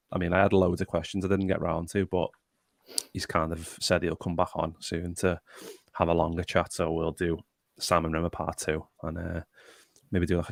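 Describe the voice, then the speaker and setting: Deep gravelly Liverpudlian man. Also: dry recording, clean studio sound. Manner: chatty, reads out viewer comments. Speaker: podcast host. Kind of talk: casual podcast chat.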